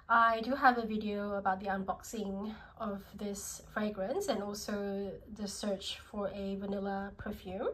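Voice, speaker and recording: A woman's voice: on the high side, rough recording. Congested Australian woman reviewing perfumes. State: congested from a cold. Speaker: perfume vlogger. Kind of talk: casual product review.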